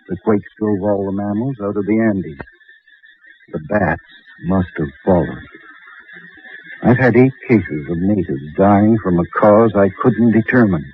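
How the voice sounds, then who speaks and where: A man acting as a grave expedition doctor in a radio drama, speaking in a deliberate, explanatory way. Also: low-pitched. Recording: dull lo-fi radio recording, faint noise.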